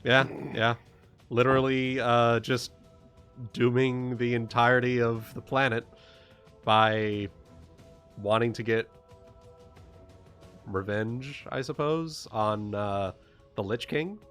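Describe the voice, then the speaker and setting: A man casually chatting as game master of an online tabletop game, talking in a halting, unscripted way and swearing freely. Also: mid-range voice, steady tone, a bit bright. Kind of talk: casual chat and off-the-cuff game recap. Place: online group voice call. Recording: background music behind the voice.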